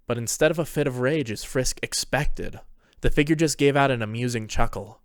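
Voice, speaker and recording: A man's voice: medium pitch, normal speed, clean tone, dry recording, studio quality. A man reading scripted dramatic narration as the storyteller of a fantasy tale.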